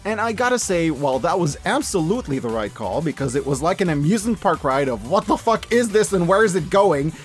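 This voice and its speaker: Male reviewer, very lively intonation, punchy casual enthusiasm, scripted commentary over background music. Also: a little high. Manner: enthusiastic, emphatic, casual and profane. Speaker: host of a media review channel.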